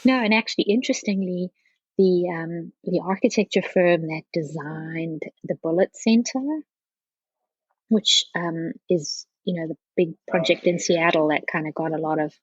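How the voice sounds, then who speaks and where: A woman from South Africa with a low, slightly rough voice answers as a podcast guest. She talks casually and haltingly, restarting her thoughts as she goes. The sound is a little dull and roomy. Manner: halting, circling back with frequent "you know". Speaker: podcast guest, architecture professional. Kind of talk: casual conversational interview.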